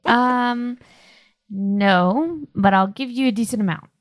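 A woman playing a firm but accommodating female tavern barkeep in a tabletop game, talking plainly with hesitant pauses. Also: on the high side, no room sound, clean studio sound. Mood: firm, patient. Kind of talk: tabletop roleplay.

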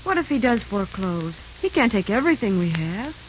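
An actress playing an anxious, loyal young daughter in a 1930s radio drama. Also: female voice, mid-range voice, sing-song, brisk, close to an everyday voice. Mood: soothing. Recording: dull, faintly noisy 1930s radio recording.

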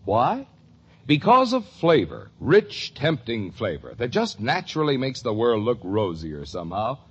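Old radio commercial guy, super animated delivery, rough husky voice, muffled vintage sound. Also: mid-range voice, measured pace. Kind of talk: 1950s radio ad read.

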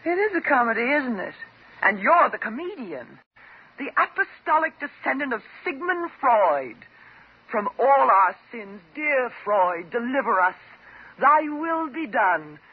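Bitter, mocking jilted woman, husky. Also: fairly high pitch, sing-song, a little slow, heavily acted. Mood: mocking, unhinged. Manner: mocking, sarcastic, dramatic. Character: bitter, vengeful jilted woman patient holding doctor at gunpoint. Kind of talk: 1950s radio drama acting. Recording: dull old 1950s radio recording.

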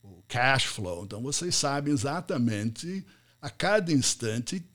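A man's voice: mid-range voice, big pitch swings, a little slow, fairly bright tone. A middle-aged American man, a native English speaker, speaking Portuguese in a live online talk and telling anecdotes with repeated words for effect. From United States. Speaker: event speaker.

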